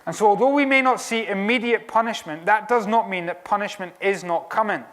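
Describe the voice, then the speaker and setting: A Scottish preacher with a fairly high voice delivers a sermon. His delivery is animated and conversational, and he slips in wry asides. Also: a little fast. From Scotland.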